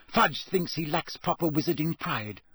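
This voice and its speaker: A man's voice: a little high, expressive, moderate pace, a bit bright, breathy edge, no echo, pitched above the performer's own voice. A man doing a resolute middle-aged mother.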